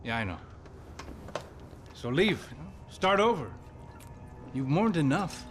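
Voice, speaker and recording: A streetwise former cop and loyal buddy in a game drama. His voice is rather high and swings freely, familiar and persuasive, with music behind it. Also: sing-song, slow pace, fairly bright tone, full character voice. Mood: coaxing. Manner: coaxing, familiar. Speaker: game sidekick. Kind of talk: video game drama dialogue.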